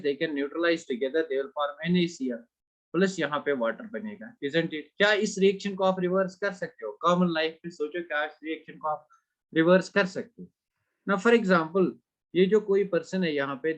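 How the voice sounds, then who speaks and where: A man teaching an exam-prep chemistry lecture, switching between Hindi and English and quizzing his students. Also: a little high. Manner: mixes Hindi and English, asks students questions; slow, deliberate English phrases, rapid Hindi stretches. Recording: dull lo-fi recording.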